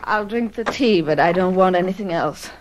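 A woman's voice: fairly low pitch, moderate pace, close to an everyday voice, poor audio. An actress playing a willful, passionate young married woman in a radio drama, imperious and dramatic. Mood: anguished, weary.

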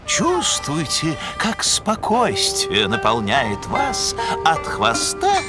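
Pompous elk scientist, slow, swoopy, loud music underneath. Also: male voice, a little high, huge pitch swings, exaggerated voice. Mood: lofty. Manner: pompous, lecturing. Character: pompous male elk scientist, lofty mentor. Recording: cartoon soundtrack with loud music.

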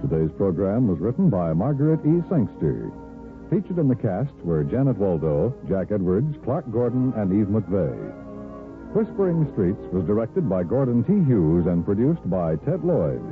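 A narrow old-broadcast sound with clear music behind it frames a male announcer. He delivers scripted 1950s radio announcements in a lowish, animated voice. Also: normal speed. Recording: tinny 1950s radio recording. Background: prominent music.

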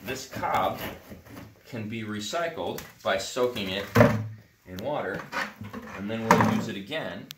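A man's voice: lowish voice, lively intonation, unhurried, long pauses, very noisy. Rambling middle-aged man explaining hands-on. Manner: rambling, full of ums and uhs, explanatory. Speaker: natural builder demonstrating a heater. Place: indoors beside a cob heater in a barn workshop. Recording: roomy indoor echo.

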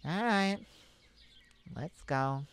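A woman's voice: on the low side, expressive, very slow, clear, resonant tone. A woman playing a kind, anxious mage in an ASMR audio roleplay, talking hesitantly and intimately to a childhood friend. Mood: reluctant, playful. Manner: hesitant, stammering, soft-hearted worrier.

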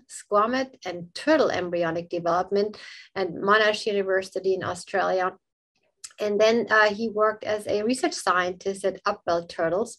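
Middle-aged woman, medium pitch, host introduction, filler words, webcam audio. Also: a bit bright. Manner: friendly, with many um and uh fillers. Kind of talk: event introduction. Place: home office. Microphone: webcam on video call.

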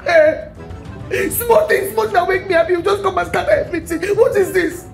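A woman's voice: extremely high pitch, fairly quick, vocal fry, faint music behind. A young woman with a Nigerian accent playing a pregnant wife who scolds and cries with repeated questions. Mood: upset. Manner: tearful, scolding, repetitive questions. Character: pregnant wife, tearful and accusing. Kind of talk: Nollywood-style skit acting.

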